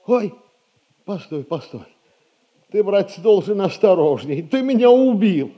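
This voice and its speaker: A lazy pompous merchant, soft mellow highish voice, flowery words, old stage comedy. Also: male voice, lively intonation, unhurried, highs cut off. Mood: worried. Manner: pompous, florid misused words, sighing. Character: pompous, dissolute middle-aged merchant son, muddled and idle. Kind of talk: 1960s Russian stage comedy acting for audio.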